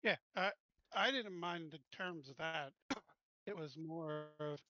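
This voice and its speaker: A man's voice: on the high side, takes it slowly, muffled recording. A man playing a tabletop roleplaying game, bluntly talking through the terms of a deal in casual chat. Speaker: player.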